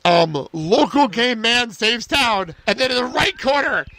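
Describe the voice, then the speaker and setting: A man with a high, lively voice chats through an episode recap. His delivery is informal and giggly, with casual swearing. The sound is bright and forward, like a podcast co-host talking off the cuff. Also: unhurried, dry recording.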